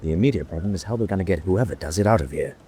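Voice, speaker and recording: A man's voice: low-pitched, rapid-fire, mellow, close to an everyday voice. A man playing a pragmatic, watchful senior prisoner-of-war officer with a clipped, appraising manner in a radio drama. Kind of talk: vintage-style war radio drama acting. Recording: noisy track with background ambience.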